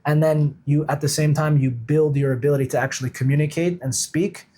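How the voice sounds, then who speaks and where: Higher-pitched man in casual conversation. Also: steady tone, a little fast, warm tone. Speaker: podcast guest, coach. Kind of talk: casual conversational interview talk.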